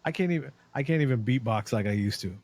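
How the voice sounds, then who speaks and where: A man co-hosting a casual horror film podcast, joking and swearing with halting restarts. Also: mid-range voice, lively intonation, darkish tone, slightly rough, dry recording. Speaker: podcast co-host. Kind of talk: casual chat.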